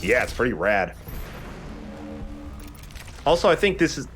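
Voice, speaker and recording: A man's voice: mid-range voice, big pitch swings, measured pace, music in the background. A man hosting a let's play with chatty, casually sweary gaming commentary.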